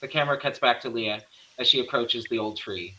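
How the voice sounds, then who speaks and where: A man's voice: medium pitch, fairly quick, pushed and bright, highs cut off. A man narrating stage directions in an amateur screenplay table read, sometimes stumbling and correcting himself.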